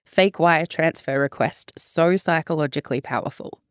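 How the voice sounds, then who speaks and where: Low-voiced woman podcast host, composed. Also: moderate pace, smooth, dry recording, studio quality. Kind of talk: reading prepared interview questions.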